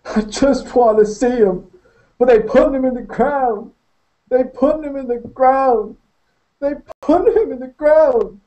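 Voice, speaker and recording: A man voicing a hysterical young male inmate who wails and sobs in a high, strained voice over his dead brother. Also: slow pace, warm tone, low-quality recording. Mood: wailing. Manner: wailing, sobbing, high strained voice.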